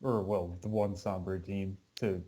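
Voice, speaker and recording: A young man hosting a casual gaming podcast, opening the show and chiming in with quick remarks. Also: low-pitched, fairly even intonation, dark tone. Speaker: podcast host.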